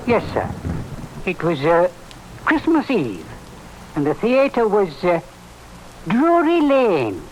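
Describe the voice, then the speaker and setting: A man acting a blind, deferential old villager with halting speech in a radio drama. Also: high voice, huge pitch swings, a little mellow, exaggerated voice. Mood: weary, earnest. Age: older. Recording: faintly noisy old radio recording.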